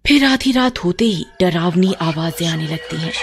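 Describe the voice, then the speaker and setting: A woman's voice: lowish voice, lively intonation, moderate pace, vocal fry, soft background music. A woman narrating a scripted story as a storyteller.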